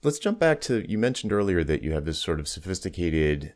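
Deep-voiced, animated male interviewer. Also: a little fast. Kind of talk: conversational interview questioning.

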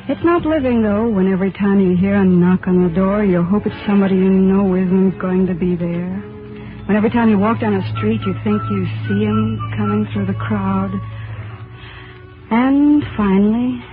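A woman's voice: medium pitch, natural voice. An actress playing a proud, plainspoken young working-class woman in a 1940s radio drama, emotional and outspoken. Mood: wistful, bitter. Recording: tinny old radio broadcast with music.

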